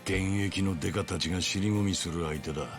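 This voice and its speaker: A man's voice: sits low, racing, over music. An actor playing a bold, big-hearted middle-aged man who rallies his friends in a Japanese video game drama. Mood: determined.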